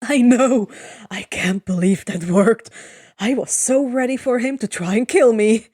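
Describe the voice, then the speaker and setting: Woman doing a cheeky teen boy from a fanfic, chatty and joking, kinda high and slow. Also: a little mellow, no echo, studio quality. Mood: relieved. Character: cheeky, upbeat teenage boy demigod.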